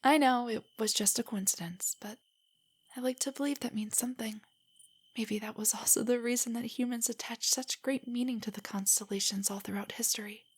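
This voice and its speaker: Woman acting a breathy, nervous, nerdy girlfriend. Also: a little high, fairly even intonation, fairly quick. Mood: tender, teasing. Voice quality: soft, breathy, often near a whisper. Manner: rambling, gently explaining, hesitant. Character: nerdy, nervous, tender young girlfriend on a stargazing date. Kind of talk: intimate roleplay audio, scripted to sound spontaneous.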